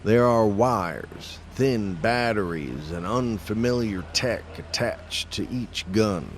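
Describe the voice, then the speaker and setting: An actor playing a dead man who calmly narrates an audio drama with slow, measured, deliberate delivery. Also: fairly low pitch, slight background noise. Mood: measured, somber. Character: dead man narrating, calm and all-seeing.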